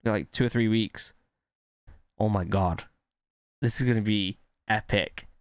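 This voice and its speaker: A man in his thirties giving casual, excitable reaction commentary into a close microphone. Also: fairly low pitch, a little slow, clean tone, no room sound. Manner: hyped, exclamatory. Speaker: reaction video host. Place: indoor streaming setup. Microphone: close boom-arm microphone.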